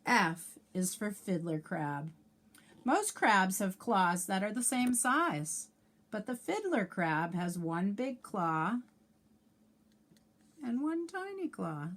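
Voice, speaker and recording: Female teacher for children's read-aloud: unhurried, lively intonation, careful enunciation, chatty asides to little listeners. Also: mid-range voice. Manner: sing-song, enunciating letters for young children; adds asides and questions to young listeners. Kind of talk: read-aloud of a children's alphabet picture book.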